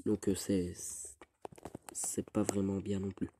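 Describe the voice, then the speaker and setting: A teenage boy with a cold, rambling through casual gameplay commentary with halting restarts. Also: deep voice, completely flat delivery, noisy background. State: stuffy with a cold. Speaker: gaming youtuber.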